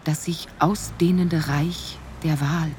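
An actress playing a contemplative voice, reciting poetic radio play lines in measured, solemn short phrases. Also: female voice, low voice, fairly even intonation, slight background noise. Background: loud new-age music.

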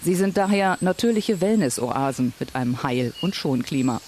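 A man reading scripted documentary voice-over narration in a measured, even manner. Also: fairly high pitch, a little hiss or hum.

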